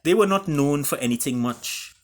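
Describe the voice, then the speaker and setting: A man with a Caribbean accent reading his own story aloud in a theatrical way, with deliberate pauses. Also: fairly high pitch, animated. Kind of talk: dramatic storytelling.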